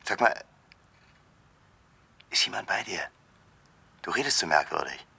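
A man's voice: low-pitched, animated, a little slow, creaky, sharp tone, husky, exaggerated voice. An actor in a radio drama playing a pushy, suspicious man out for money, insistent and probing. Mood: impatient, suspicious. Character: pushy, suspicious male creditor and accomplice.

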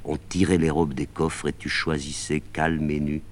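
Old nurse woman, a man reading her, soft and wistful, very low voice. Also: flat delivery, normal speed.

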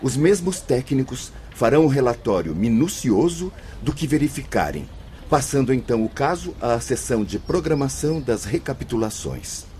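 An actor playing a learned, patient spirit mentor in a Brazilian radio drama, lecturing formally and solemnly. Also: male voice, mid-range voice, sing-song, normal speed, dark tone, slight background noise, natural voice. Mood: grave, explanatory. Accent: Brazilian Portuguese.

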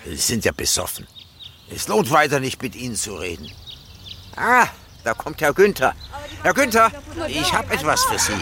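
Radio-drama role: fussy, chatty postman, forceful and lively, self-important, outdoor noise behind. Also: on the high side, moderate pace, full character voice. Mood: dismissive. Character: chatty, officious, teasing male postman. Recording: clear background noise, outdoor ambience.

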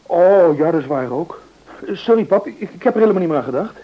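High, hurried cheeky schoolboy, dull recording. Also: male voice, lively intonation. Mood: sheepish. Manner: breezy, offhand, stammering when caught out. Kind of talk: Dutch radio serial acting. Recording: dull, lo-fi old radio recording.

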